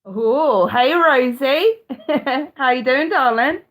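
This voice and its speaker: A woman's voice: high-pitched, lively intonation, takes it slowly. Middle-aged woman, stuffy-nosed, rambling live-stream host on a webcam or phone. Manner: chatty, warm to viewers, swears casually. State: blocked nose. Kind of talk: casual live-stream chat.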